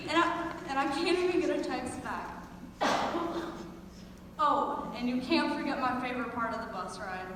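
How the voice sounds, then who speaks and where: Teen female student performer, high register, comic exaggeration, very reverberant hall recording. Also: expressive, moderate pace, pauses often. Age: teenager. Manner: self-deprecating, exaggerating for comic effect. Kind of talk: scripted comic monologue on stage. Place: large echoey indoor performance space. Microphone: distant camera microphone. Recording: echoey hall from far off, noisy.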